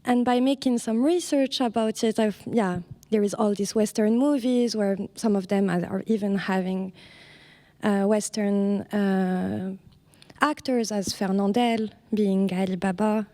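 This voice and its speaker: A woman artist from Morocco talks about her project in a museum panel discussion. Her speech is halting, with frequent restarts as she searches for words, at an ordinary middle pitch. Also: steady tone, warm tone. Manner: hesitant, searching for words, laughs lightly. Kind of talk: panel talk.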